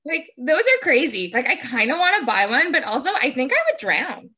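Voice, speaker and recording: A woman with a fairly high, bright voice hosts a casual video chat. Her pitch moves around in a lively way, and she talks playfully, joking and saying "like" often. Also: a little fast, lo-fi sound. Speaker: host, interviewer. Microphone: video call.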